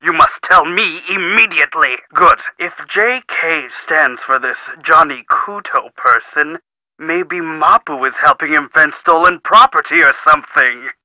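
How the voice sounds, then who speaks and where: An actor playing a middle-aged company boss in a video game, pompous, formal, demanding and impatient. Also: male voice, fairly high pitch, a little slow, pushed and bright, no echo, rough recording. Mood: brisk, formal.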